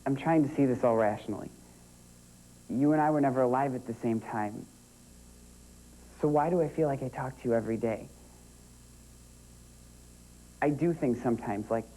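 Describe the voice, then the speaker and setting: A young man acting a grieving son in an earnest, halting, emotional stage monologue addressed to his lost father. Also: medium pitch, mellow, natural voice, low-quality recording. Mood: wistful, searching.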